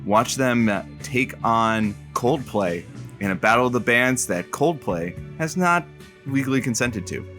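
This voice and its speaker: A man's voice: medium pitch, expressive, measured pace, a bit bright, faint music behind. A man playing a wry radio co-host and announcer in a comedic audio drama, with dry humour and some hesitation. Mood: wry, announcing.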